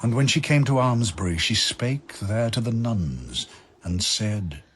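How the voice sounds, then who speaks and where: A man narrating dramatic verse from a script in a solemn, measured manner. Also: lowish voice, lively intonation, slight background noise.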